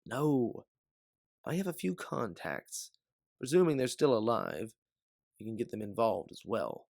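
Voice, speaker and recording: A man voicing a devout, loyal companion in a sci-fi story, speaking in an earnest, persuasive, measured way. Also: mid-range voice, big pitch swings, creaky, darkish tone, no room sound, clean studio sound. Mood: firm, persuasive. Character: Aleph, devout, loyal, forgiving companion and resistance believer.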